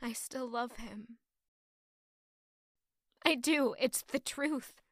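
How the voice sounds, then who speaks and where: A woman playing a conflicted, loyal young woman in love in an audio drama, with emotional, deliberate delivery. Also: on the high side, no room sound, studio quality. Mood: torn, wistful. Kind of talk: dramatic audio drama acting with first-person narration.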